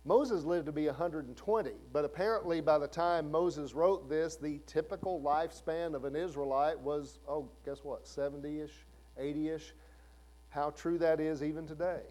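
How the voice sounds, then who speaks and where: A man's voice: on the high side, normal speed, a little mellow, rough recording. A man in his fifties preaching a sermon in a conversational way, asking the listeners questions. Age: 50s. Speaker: pastor.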